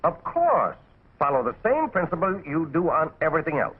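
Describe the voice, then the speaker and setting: An American actor playing a small, brisk, cheerful animal supervisor in a 1940s military training cartoon, calling out folksy instructions. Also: male voice, a little high, huge pitch swings, husky, heavily acted. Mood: instructive. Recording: tinny 1940s film soundtrack with music.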